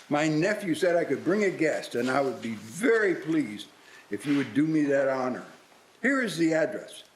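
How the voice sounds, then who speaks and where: A man's voice: on the high side, poor audio. A man playing a reformed old Victorian businessman in a live radio play, speaking formally and earnestly. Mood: earnest, inviting.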